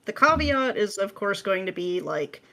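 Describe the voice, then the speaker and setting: A woman's voice: medium pitch, big pitch swings, moderate pace, sharp tone. A woman chatting informally as an interview guest, explaining things in a matter-of-fact way. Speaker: interview guest, ASMR roleplay script writer. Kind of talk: casual explanatory chat.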